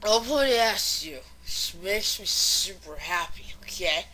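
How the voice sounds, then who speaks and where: A woman's voice: medium pitch, lively intonation, unhurried, sharp tone, low-quality recording. A woman playing a drunk, lovesick young mare disc jockey who slurs and rambles melodramatically in a fan fiction audio drama. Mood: drowsy, defiant. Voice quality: slurring.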